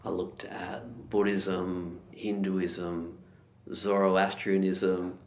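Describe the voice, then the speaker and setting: A middle-aged Australian man, a meditation teacher, answering interview questions in a slow, measured and thoughtful way. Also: low-pitched, completely flat delivery, darkish tone. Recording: echoey room.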